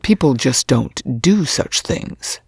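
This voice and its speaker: Woman acting a flustered, husky male professor. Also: sits low, expressive, takes it slowly, no echo, whispered, clean studio sound, full character voice. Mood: stern, nervous. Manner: hesitant, stammering, stiffly proper. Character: flustered, stuffy male college history professor.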